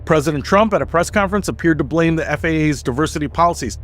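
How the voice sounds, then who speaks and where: A man reading scripted explanatory narration in a measured, emphatic, structured way, as in a video essay. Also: a little high. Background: background music.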